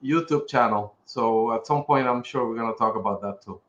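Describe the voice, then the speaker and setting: A man's voice: mid-range voice, fairly quick, fairly bright tone. Male podcast guest, middle-aged, Puerto Rican accent, conversational, slightly muffled recording in an echoey room. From Puerto Rico. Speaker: podcast guest, pharmaceutical executive. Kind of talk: casual interview conversation. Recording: roomy echo, slightly dull sound.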